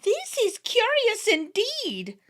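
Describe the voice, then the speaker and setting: Sly little fairy man as a woman puts him on, high sing-song voice, bright tone, storybook. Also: huge pitch swings, takes it slowly, exaggerated voice. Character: leader of the wee folk, sly little man.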